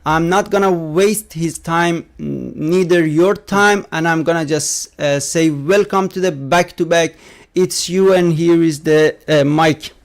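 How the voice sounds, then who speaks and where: A man hosting a gaming podcast, talking casually with many fillers and halting, non-native phrasing. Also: a little high. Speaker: podcast host.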